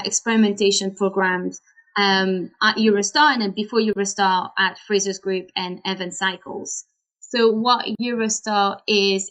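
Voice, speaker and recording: A woman's voice: medium pitch, a bit bright, low-quality recording. A Belgian woman with a French accent, a native French speaker, explaining her work carefully as a guest on a webinar call. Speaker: webinar guest, optimization lead.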